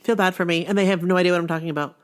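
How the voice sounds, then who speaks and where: A woman with a Maine accent co-hosting a podcast in casual chat, with a nasal voice, animated and quick to agree. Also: lowish voice, slight background noise. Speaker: podcast co-host.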